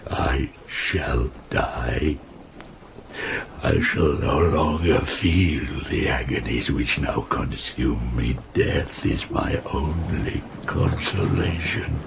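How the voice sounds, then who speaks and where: An actor playing an anguished, menacing outcast creature in a radio drama, gravelly and gravely theatrical. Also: male voice, very deep voice, exaggerated voice. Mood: bitter grief. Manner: grave, archaic theatrical speech. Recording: audible background noise.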